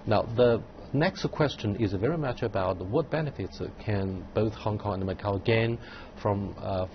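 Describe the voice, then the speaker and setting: A man hosting a studio panel, posing long questions with measured pacing and frequent hesitations. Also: on the low side, highs cut off, a little hiss or hum. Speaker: host.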